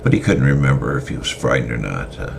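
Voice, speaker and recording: Very deep, gravelly male storyteller. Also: animated, background noise. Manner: halting, with fillers and restarts. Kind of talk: semi-dramatic story reading.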